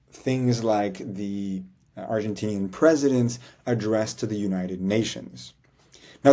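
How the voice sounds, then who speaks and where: Earnest man with a mellow voice. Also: on the low side. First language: English. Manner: earnest, reflective, frequent "right?" tags. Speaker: language coach vlogger. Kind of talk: casual talking-head vlog.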